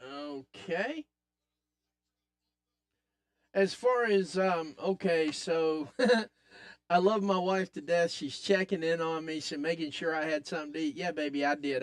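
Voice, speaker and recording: A man with a raspy voice hosting a live wrestling watch-along podcast, excitedly calling the action and chatting with viewers. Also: a little high. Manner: excitable, hypes up the action, talks to chat. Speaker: podcast host.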